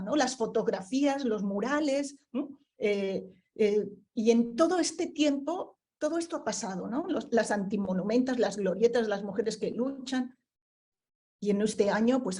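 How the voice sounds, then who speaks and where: Thoughtful woman with a mellow voice. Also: medium pitch. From Spain (Barcelona). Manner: thoughtful, searching for words, with frequent fillers and tag questions. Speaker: book presenter, panelist. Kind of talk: book presentation talk.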